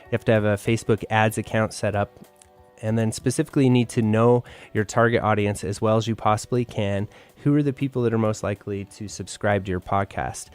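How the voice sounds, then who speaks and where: A man's voice: fairly low pitch, fairly even intonation, brisk, darkish tone, smooth, no echo, faint music behind. A man co-hosting a podcast, sharing news and tips in a casual, conversational, explanatory way. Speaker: podcast co-host.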